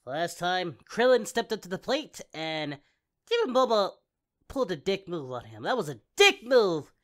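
A man voicing a goofy, excitable parody of a cartoon hero in casual reaction commentary, swinging from muttering to exclamations. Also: sits high, normal speed. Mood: amused, hyped. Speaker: reaction video host.

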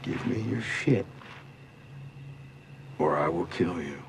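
An actor with an American accent playing a smug, cruel middle-aged gang leader in a TV drama, drawling and mocking with deliberate pauses. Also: male voice, low voice, creaky, exaggerated voice. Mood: smug, threatening. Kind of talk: television drama villain monologue. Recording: TV drama clip with noticeable background noise.

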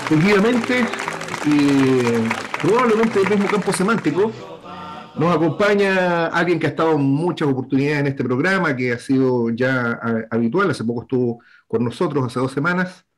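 A man's voice: a little high, lively intonation, moderate pace, a crowd in the background, background noise. A middle-aged man hosting a political talk show from home on a video call with a headset, giving formal, wordy introductions full of fillers. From Chile. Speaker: host. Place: home room with bookshelves.

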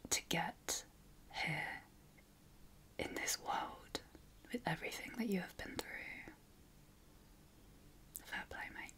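A British woman whispers in ASMR style with a breathy, unvoiced delivery. She offers affirmations informally, sounding encouraging and affectionate throughout. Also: on the low side, pushed and bright. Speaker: ASMR host.

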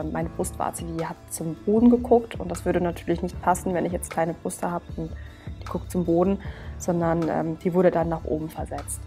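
A woman's voice: lowish voice, darkish tone. A woman in her twenties earnestly telling her personal story and explaining things step by step to camera. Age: 20s. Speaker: interviewee. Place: indoor studio set, seated.

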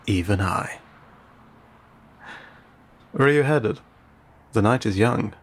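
A low-pitched, husky old vampire, acted by a man, speaking slowly in an intimate audio drama. He is courteous and teasing, with a lonely hunger beneath his archaic, formal words. His breathy delivery often sinks toward a whisper. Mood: playful, courteous. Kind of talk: intimate audio roleplay addressed to the listener.

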